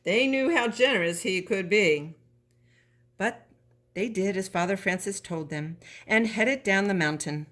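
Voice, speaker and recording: A woman's voice: mid-range voice, measured pace, a bit bright. Woman reading a children's story expressively. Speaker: storybook reader.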